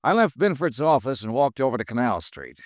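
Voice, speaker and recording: An actor playing a brisk, wry insurance investigator who narrates his own case in a 1950s radio drama. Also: mid-range voice, very animated, dry recording, studio quality, lightly acted. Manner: brisk, wry, matter-of-fact. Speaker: narrator and lead detective. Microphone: close studio mic, dry.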